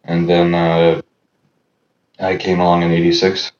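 A man in his thirties with a very deep voice, talking casually as a guest and pausing often with fillers. The sound is roomy and a bit dull, as if from a lo-fi setup. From Los Angeles. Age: 30s. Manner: relaxed, halting, many fillers. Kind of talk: casual chat.

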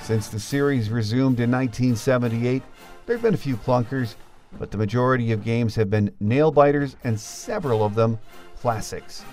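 American guy hosting a sports podcast, medium pitch, sometimes a bit of music around. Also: expressive, normal speed, darkish tone. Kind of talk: scripted podcast narration and interview.

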